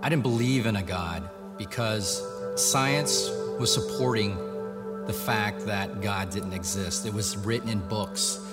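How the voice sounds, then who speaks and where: A man in his fifties, a project manager, telling his story in a halting way, often repeating words. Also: fairly low pitch. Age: 50s. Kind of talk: testimony interview. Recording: loud music underneath.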